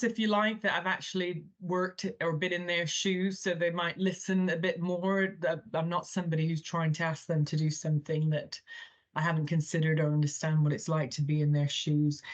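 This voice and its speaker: A woman's voice: on the low side, dull audio. A woman in her forties with a mixed American-English accent, answering interview questions thoughtfully with frequent ums and restarts. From Thailand. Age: 40s. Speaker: guest, healthcare chief executive.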